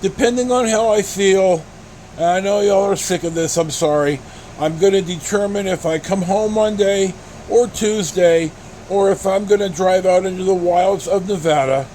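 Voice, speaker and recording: A middle-aged American man vlogging in a casual, rambling storytelling style, halting and blunt, with some mild swearing. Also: high-pitched, poor audio. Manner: halting, blunt, mildly profane. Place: inside a pickup truck on the road. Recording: steady vehicle cabin noise.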